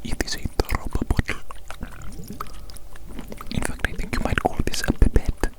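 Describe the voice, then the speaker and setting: A woman's voice: measured pace, close to an everyday voice. A woman voicing a gloating, condescending evil mad scientist in an ASMR roleplay, savouring and repeating phrases. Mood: intrigued.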